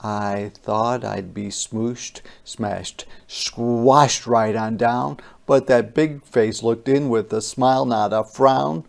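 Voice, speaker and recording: A storybook reader with a very slow, deliberate delivery. The voice is creaky and mellow, giving a rhyming children's tale theatrical phrasing. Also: medium pitch, steady tone. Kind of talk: dramatic rhyming children's read-aloud.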